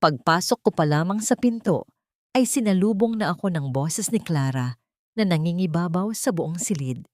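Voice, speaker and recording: A woman narrating a dramatic first-person story in a measured, solemn way. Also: lowish voice, lively intonation, a little mellow, no room sound, studio quality.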